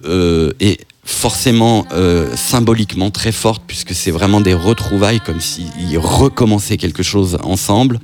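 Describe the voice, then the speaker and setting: A man with a lowish voice speaks in an interview, pausing often and restarting his phrases. Faint music plays quietly underneath him. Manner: hesitant, many fillers and restarts. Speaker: festival programmer. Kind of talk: interview soundbite.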